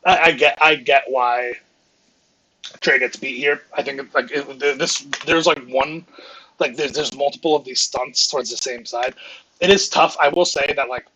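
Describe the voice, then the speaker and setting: A man's voice: medium pitch, normal speed, bright, forceful tone, slight background noise, low-quality recording. A man on a live stream reviewing his team's game footage, talking in a rambling, analytical way with many restarts, and he says he is losing his voice. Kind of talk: casual live-stream film breakdown.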